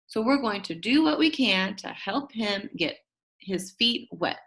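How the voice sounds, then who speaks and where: A woman reading a children's storybook, voicing a brisk, jokey male substitute teacher who addresses his class. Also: mid-range voice, expressive, a bit bright. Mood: brisk, businesslike. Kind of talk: children's storybook read-aloud.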